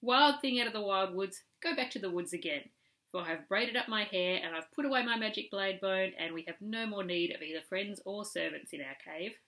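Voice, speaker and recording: A woman plays a firm, shrewd cave woman from a storybook. Her voice is bright and forward, and she speaks briskly and commandingly, with a teasing edge. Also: medium pitch, low-quality recording. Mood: scornful, dismissive.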